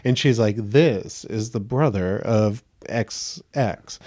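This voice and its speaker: A man with a slightly high, gentle voice, telling stories in a casual podcast chat, laughing often and acting out what people said. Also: slow. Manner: animated storyteller, laughs often, acts out quotes. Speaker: guest actor.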